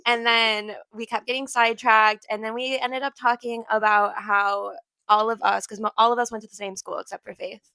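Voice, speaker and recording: Slightly hoarse young woman, gossipy and sarcastic. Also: fairly high pitch, flat delivery, normal speed, sharp tone, dry recording, low-quality recording. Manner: gossipy, sarcastic, laughs often. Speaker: podcast co-host. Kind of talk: casual chat.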